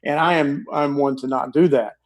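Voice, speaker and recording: A man's voice: fairly high pitch, steady tone, moderate pace. A man in his forties, a guest on a video call, earnestly and carefully explaining practical steps through an earbud mic. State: losing his voice. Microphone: earbud headset on video call.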